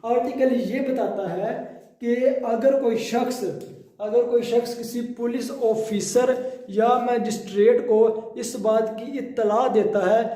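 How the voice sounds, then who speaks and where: A man with a Pakistani accent giving an explanatory law lecture, deliberate, reading out clauses and restating key phrases in other words. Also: extremely high pitch, steady tone, mellow. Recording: very echoey, reverberant room sound.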